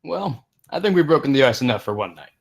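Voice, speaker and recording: A man reads a genial, amused ringleader of a party in a film script. His mid-pitched voice moves up and down in a lively way. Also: fast, gravelly, husky, exaggerated voice. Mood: amused, rallying. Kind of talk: screenplay table read.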